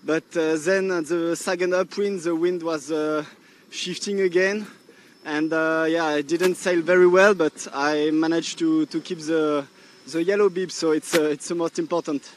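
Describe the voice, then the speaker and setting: A young man with a French accent, speaking into a handheld mic on a coach boat out at sea. His voice sits a little high and he talks haltingly, full of fillers and restarts. Faint wind and sea noise run behind him. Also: fairly even intonation. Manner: halting, many fillers and restarts, laughs often. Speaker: windsurfer being interviewed. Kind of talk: post-race interview. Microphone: handheld microphone.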